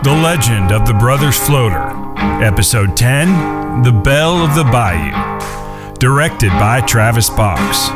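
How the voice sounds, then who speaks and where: Male announcer for scripted credits, medium pitch, prominent guitar bed. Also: lively intonation, unhurried. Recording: loud guitar music behind the voice. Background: guitar music.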